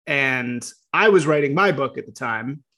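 A man from Chicago hosting a casual interview podcast, talking in a rambling, animated way with many fillers and restarts. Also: medium pitch, measured pace. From Chicago, US Midwest. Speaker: podcast host.

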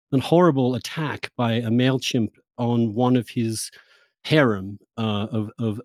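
A man's voice: mid-range voice, a little mellow, no echo. Dry-sounding man hosting an interview. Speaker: podcast host interviewing a guest. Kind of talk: conversational interview questioning.

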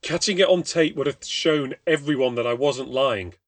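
A man's voice: on the high side, moderate pace, no echo, pitched above the performer's own voice, natural voice. A man voicing a panicked young man haunted by a ghost, breathless and pleading. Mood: frantic.

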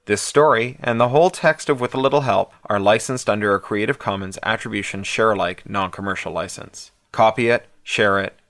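A man with a fairly low voice reads a scripted introduction. The sound is very dry, with no room around him. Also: a bit bright, no room sound. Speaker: announcer introducing a story and its licence.

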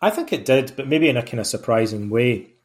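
Middle-aged Scottish author, mid-pitched, chatty and meandering, talks himself around his points. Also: animated, fairly quick. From Scotland. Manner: rambling, self-deprecating, many fillers and restarts. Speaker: author being interviewed. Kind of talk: relaxed conversational answers.

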